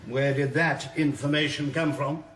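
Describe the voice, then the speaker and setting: An actor playing a sardonic, needling defence barrister who questions formally and insistently in a radio drama. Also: male voice, on the high side, fairly even intonation, moderate pace, a bit bright, reverberant, a little hiss or hum, lightly acted. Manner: formal, theatrical, insistent cross-examination with mock courtesy.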